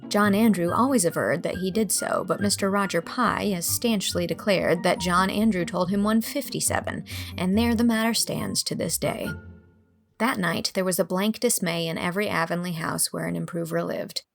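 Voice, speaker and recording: A woman's voice: on the low side, normal speed, vocal fry, soft background music. A woman reading scripted narration for a classic storybook.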